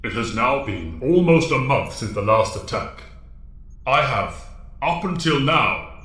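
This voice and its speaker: Man as stern alien mentor, audio drama, measured formal delivery, expressive pitch, heavy echo. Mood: solemn.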